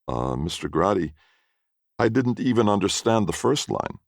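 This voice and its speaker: A polite young guest, as a man puts him on, answering in a deep, creaky voice with careful, measured phrasing. His tone rises and falls freely, recorded close and dry. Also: very animated, dry recording.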